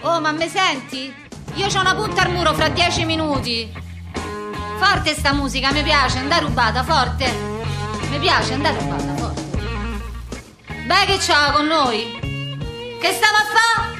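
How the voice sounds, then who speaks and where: A woman's voice: high-pitched, expressive, measured pace, pauses often, music in the background. An actress playing a brash, foul-mouthed young working-class woman with a Roman accent, in a combative, slangy theatrical monologue. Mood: impatient, then furious, defiant. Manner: slangy, combative, rambling street talk. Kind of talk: theatrical comic monologue for radio.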